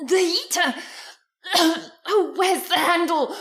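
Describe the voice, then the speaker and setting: A woman playing a fussy, excitable housekeeper with folksy, exclamatory speech in an old-time radio drama. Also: extremely high pitch, takes it slowly, full character voice. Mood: panicked.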